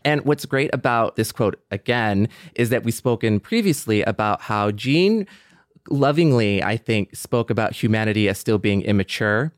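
Man, lowish pitch, clean resonant tone, close dry sound, earnest panel talk. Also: normal speed, dry recording. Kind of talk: casual panel discussion.

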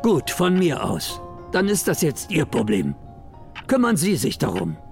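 Stern needling boss man, gravelly, swings up and down, radio play. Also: a little high, wildly swooping pitch, a little slow, soft background music, full character voice. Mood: resigned. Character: stern male mission boss, needling and suspicious. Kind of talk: audio drama acting.

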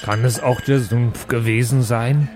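A man's voice: mid-range voice, fairly even intonation, a little slow, over music, close to an everyday voice. A man playing a small, naive, chatty dwarf in an improvised tabletop game, polite and hesitant. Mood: innocent, curious. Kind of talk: improvised tabletop role-play.

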